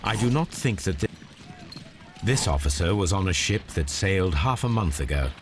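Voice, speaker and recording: An actor playing a keen, confident middle-aged British gentleman detective in a video game, speaking formally and precisely. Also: deep voice, animated, normal speed, background noise. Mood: analytical. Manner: precise, formal, articulate, self-assured. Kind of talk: video game cutscene acting, deductive monologue.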